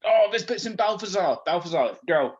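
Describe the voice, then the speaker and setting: A man's voice: sits high, lively intonation, normal speed, dull audio. A man reading a comic story aloud live, playful and self-mocking, often breaking off into chatty asides. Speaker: comedy performer reading fan fiction aloud.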